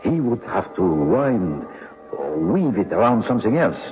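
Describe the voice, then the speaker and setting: A shrewd officer in a vintage radio thriller. His low voice swings expressively, deliberate and conspiratorial. It comes through thin, tinny broadcast sound. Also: very animated. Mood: calculating. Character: shrewd, loyal French officer plotting an escape. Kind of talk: old-time radio drama acting. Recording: tinny, band-limited old radio broadcast, music.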